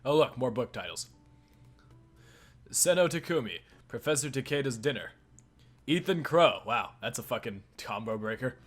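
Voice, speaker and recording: A man's voice: mid-range voice, lively intonation, normal speed, long pauses, faint music behind. A man hosting a let's play, reading a visual novel's narration aloud with joking banter.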